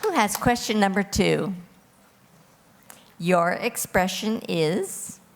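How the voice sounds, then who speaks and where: A woman moderating a formal quiz competition, giving clear, orderly instructions. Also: mid-range voice, animated, slow, over a noisy crowd, very noisy. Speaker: quiz host reading rules and judging calls. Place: large hall at a live competition. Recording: echoey hall with long reverb.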